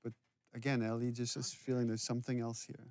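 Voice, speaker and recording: A man giving casual behind-the-scenes commentary as a game director, telling it like an animated storyteller. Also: mid-range voice, fast, no room sound.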